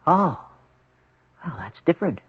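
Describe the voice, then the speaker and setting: An actor playing a desperate, haunted hospital patient in a 1970s radio drama, speaking in a halting, grave and intense way. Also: male voice, fairly high pitch, very animated, slightly rough, exaggerated voice. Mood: guarded, pleading. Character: haunted, desperate male accident patient hiding true identity. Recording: muffled, echoey old radio broadcast.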